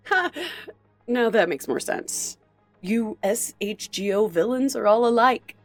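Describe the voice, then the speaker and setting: A woman's voice: on the high side, a little slow, faint music behind. A woman playing a smug, mocking supervillain in an audio drama, taunting and theatrical in her delivery. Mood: amused, scornful. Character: smug, mocking female supervillain holding hostages.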